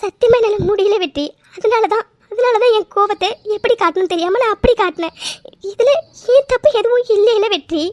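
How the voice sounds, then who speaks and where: Very high-voiced, fast-talking distraught young woman played by an actress. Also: expressive, no echo. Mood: tearful, defensive. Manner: pleading, repeating words. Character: distraught, defiant young office woman, normally easygoing. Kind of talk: emotional audio drama acting.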